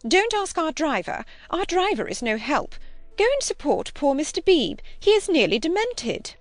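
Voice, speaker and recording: A woman's voice: high voice, very animated, moderate pace, dry recording, faint music behind, pitched above the performer's own voice. A woman reading an audiobook, voicing a fussy, fretful older woman chaperone. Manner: fussy, fretting. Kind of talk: audiobook character voice.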